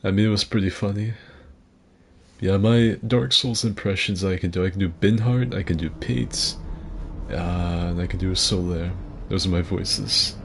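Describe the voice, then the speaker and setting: A man streaming and chatting casually, rambling and self-deprecating, with a gruff voice. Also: sits low, normal speed, a little hiss or hum. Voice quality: gruff, gravelly. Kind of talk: casual chat with viewers.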